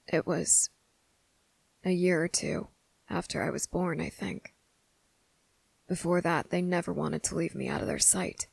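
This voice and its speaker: A woman voicing a guarded, fed-up young boy in a hushed, halting ASMR roleplay monologue. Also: fairly low pitch, gravelly, dry recording. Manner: hushed, halting, pauses mid-thought.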